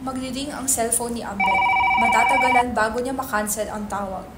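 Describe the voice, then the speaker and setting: A woman's voice: on the high side, brisk, very noisy. A woman reading stage directions as scripted narration in a dramatic reading.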